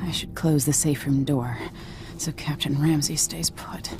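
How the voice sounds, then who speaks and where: A woman playing a determined young empress on the run in a video game, speaking to herself in a hushed, resolute way. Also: low voice, steady tone, measured pace, background noise. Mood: hushed, reflective. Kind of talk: dramatic game voice acting. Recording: music behind the voice.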